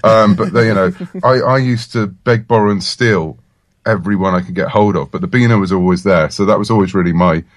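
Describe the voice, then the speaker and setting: An English man with a fairly deep voice talks as an interview guest in a casual, enthusiastic way. The sound is dry, with barely any room on it. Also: fairly quick, dry recording. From England. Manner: enthusiastic, chatty. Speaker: comic book writer, guest.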